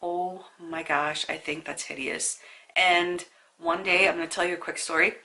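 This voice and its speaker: Stuffy-nosed woman with a gravelly bright voice. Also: fairly low pitch, flat delivery, normal speed. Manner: chatty, storytelling, asides to the viewer. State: stuffy nose from a sinus infection. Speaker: beauty vlogger. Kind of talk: casual makeup tutorial talk.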